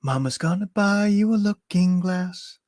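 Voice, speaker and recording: A man's voice: sits high, unhurried, a little mellow, dry recording, clean studio sound. A man voicing an eerie young mother who croons a lullaby in a sing-song way. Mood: sing-song crooning.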